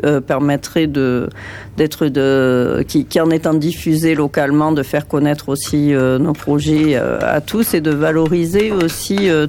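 A woman coordinating a community cooking project, explaining it slowly with many filler pauses. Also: sits low, darkish tone, slight background noise. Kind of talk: interview in a kitchen.

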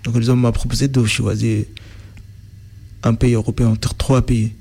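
A man's voice: medium pitch, flat delivery, normal speed, vocal fry, warm tone, breathy edge. A young man from Sudan, a native Arabic speaker, answering interview questions in French with frequent restarts as he searches for words.

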